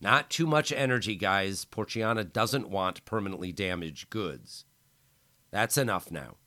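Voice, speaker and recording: A man's voice: on the low side, lively intonation, a little slow, pushed and bright. Man playing a sneering, menacing enforcer. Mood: commanding. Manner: threatening, sneering, then falsely soothing. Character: menacing male enforcer, cruel and controlling.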